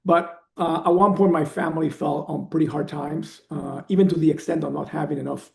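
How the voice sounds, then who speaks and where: Earnest man with a gravelly voice. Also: fairly high pitch, fairly even intonation, fairly quick, echoey room. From Venezuela. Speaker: podcast guest. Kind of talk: conversational interview storytelling.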